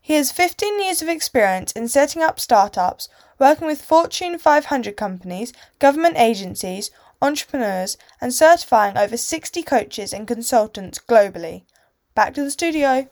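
A woman's voice: sits high, expressive, normal speed. Woman co-presenter reading a guest introduction. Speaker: podcast co-presenter.

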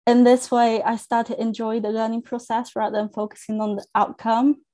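A young woman from China whose first language is Chinese, speaking earnestly as a guest on a podcast interview, with thoughtful pauses and restarts. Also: on the high side, little pitch movement, warm tone. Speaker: academic coach, guest.

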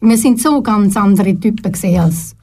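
An older woman with a Swiss German accent, a guest in a radio studio interview, who talks thoughtfully and pauses often to search for words. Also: medium pitch, dark tone, husky. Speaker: guest, retired TV presenter.

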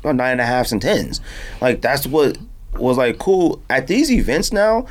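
A man's voice: medium pitch, big pitch swings, unhurried. A man hosting a podcast in casual, slangy conversation, telling stories and acting out quotes. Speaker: podcast host.

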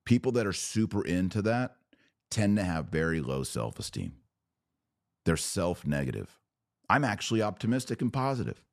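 Deep-voiced American man, emphatic religious commentator. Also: big pitch swings, moderate pace, no echo, studio quality. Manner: emphatic, preachy, punchy short phrases. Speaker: podcast host taking viewer questions. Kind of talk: impassioned religious commentary, off the cuff.

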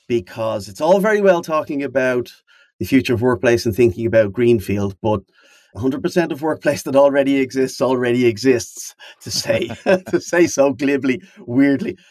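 A man's voice: medium pitch, a little mellow, no echo, studio quality. Thoughtful man explaining his design ideas. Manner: thoughtful, wordy, leans on emphatic repetition. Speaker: podcast guest, company founder. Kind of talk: conversational interview answers.

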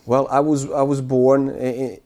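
A man from Bosnia, around forty, recalling his childhood as an interview guest, speaking haltingly with many fillers and restarts. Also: medium pitch, little pitch movement, dark tone. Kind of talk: casual spoken recollection.

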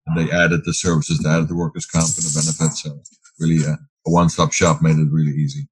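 A low-voiced, middle-aged man who grew up speaking Dutch talks through his answers, full of ums and false starts. His voice is husky and deep, recorded close and dry. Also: little pitch movement, quick pace, no echo, low-quality recording. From Netherlands. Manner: rambling with fillers and restarts. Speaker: guest, business owner. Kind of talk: casual interview answers.

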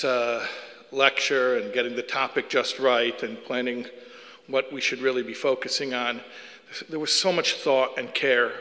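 A man's voice: mid-range voice, bright, forceful tone, big-room sound. A man from Chicago with a Chicago accent giving a public lecture, earnest and self-deprecating, with joking asides and frequent restarts. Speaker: guest lecturer.

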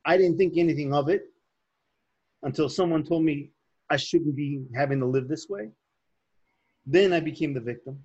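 A man from Chicago's southwest side talks as a podcast guest, easygoing and agreeable, stumbling into his points with restarts. His voice sits a bit higher and sounds husky. The recording is dull and lo-fi. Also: normal speed, pauses often, a little mellow. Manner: conversational, agreeable, hesitant restarts. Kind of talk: casual podcast chat.

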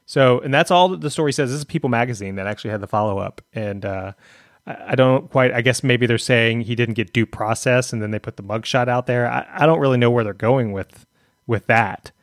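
Man, podcast host, chatty, mid pitch, dry close sound. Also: a little fast, dry recording. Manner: chatty, conversational, many fillers. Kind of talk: podcast interview.

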